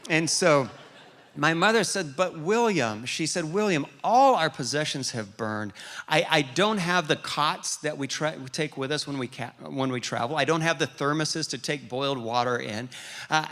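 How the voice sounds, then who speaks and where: A man who grew up in Liberia as an American, preaching a storytelling sermon with frequent restarts. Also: a little high. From grew up in Liberia, West Africa, as an American. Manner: storytelling, animated, with repeated restarts. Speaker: pastor.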